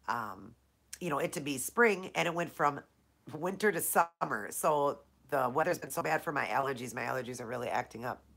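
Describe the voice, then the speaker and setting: A woman's voice: sits low, fairly bright tone. A woman from Minnesota hosting a casual live Q&A, chatting with viewers by name, her nose stuffed up from allergies. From Minnesota, USA. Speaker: live-stream host.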